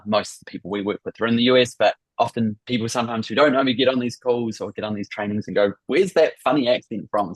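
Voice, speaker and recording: Upbeat New Zealand man, low voice. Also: husky, no echo, rough recording. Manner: upbeat, effusive welcomes. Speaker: business coach and workshop host. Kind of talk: live online workshop hosting. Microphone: video call.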